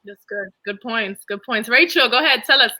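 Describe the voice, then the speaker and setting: Bright woman moderating a panel. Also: a little high, normal speed, rough recording. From Dominican Republic. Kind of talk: casual panel hosting and personal storytelling.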